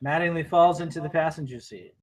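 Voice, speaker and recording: A man's voice: fairly high pitch, brisk. A man reading out stage directions as narrator at a table read, in a terse scripted delivery.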